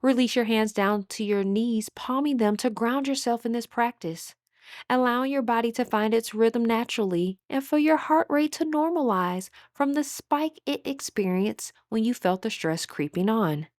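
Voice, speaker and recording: A woman with a fairly high voice leads a guided meditation. She gives scripted instructions in a measured, soothing manner. The sound is very dry, with no room echo. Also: animated, a little mellow.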